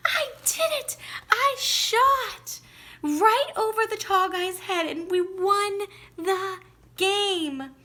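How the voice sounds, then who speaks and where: A woman voicing an excited, proud young boy who shouts in triumph in a storybook read-aloud. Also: very high-pitched, drawn-out words, voice pushed up, exaggerated voice. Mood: triumphant, excited.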